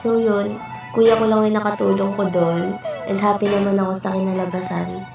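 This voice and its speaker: Flat-voiced woman over loud music. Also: mid-range voice, brisk, creaky. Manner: chatty and informal. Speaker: model contestant. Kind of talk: casual voice-over explaining an outfit. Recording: echoey, distant, loud music.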